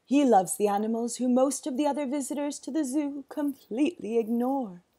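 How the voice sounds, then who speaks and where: A woman's voice: high-pitched, normal speed, voice pushed up. A woman voicing a kind male zookeeper who speaks up firmly for a boy. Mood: earnest. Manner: warm, earnest, firm.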